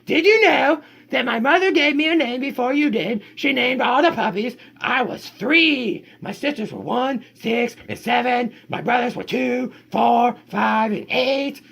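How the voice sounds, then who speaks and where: A man reading a storybook aloud and voicing a talkative female dog who never stops chatting, in a husky, high sing-song voice. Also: unhurried, fairly bright tone, heavily acted. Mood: chatty. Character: chatty talking female dog, endlessly rambling. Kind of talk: reading a picture book aloud.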